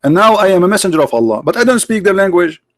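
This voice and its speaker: A man hosting a debate, arguing forcefully and repeating his points in broken English. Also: sits high, sing-song, racing, no room sound, studio quality. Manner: forceful, repetitive, nonnative English grammar. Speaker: debate host. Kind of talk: argumentative debate on a live call.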